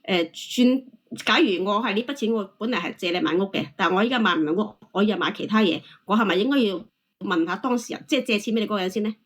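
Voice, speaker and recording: A woman's voice: medium pitch, fast talker. A woman debating on a call-in show, insistent and argumentative, often repeating her points. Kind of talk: heated casual debate.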